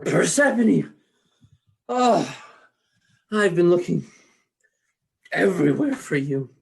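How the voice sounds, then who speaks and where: A man's voice: high-pitched, very animated, slow, creaky, a little mellow, exaggerated voice. A man voicing an anxious, exasperated messenger god. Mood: urgent.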